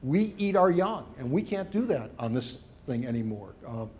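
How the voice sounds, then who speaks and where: A middle-aged man, an education researcher, answering interview questions in an expansive, discursive way with lively emphasis. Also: mid-range voice, normal speed, slight background noise.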